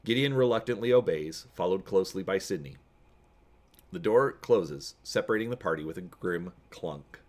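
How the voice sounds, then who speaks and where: Deep-voiced man reading an adventure story. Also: lively intonation, moderate pace. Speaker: reader and host of a gamebook read-through.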